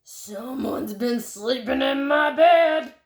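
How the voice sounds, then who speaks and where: A woman's voice: high-pitched, takes it slowly, echoey room, soft background music, pitched above the performer's own voice. Woman putting on a big, bellowing papa bear. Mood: indignant. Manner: bellowing, growling.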